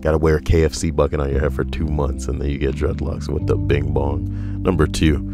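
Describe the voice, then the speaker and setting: An extremely deep male voice, dry and deadpan, reading odd stories aloud with rambling jokes between them. Faint music plays softly underneath him. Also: a little fast, gravelly. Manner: deadpan, wry, rambling asides. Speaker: podcast co-host reading internet stories. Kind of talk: casual joking commentary.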